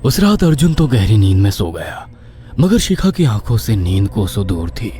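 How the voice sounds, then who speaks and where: A man's voice: on the low side, very animated, normal speed, mellow, slight background noise. A man narrating a scripted horror story in a dramatic style.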